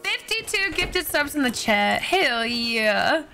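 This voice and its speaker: A woman's voice: high-pitched, big pitch swings, slow pace, vocal fry, music in the background. A woman in her twenties chatting casually on a game livestream, recorded close on a streaming mic indoors. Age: 20s. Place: bedroom streaming setup indoors. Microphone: close boom-arm streaming mic with headset.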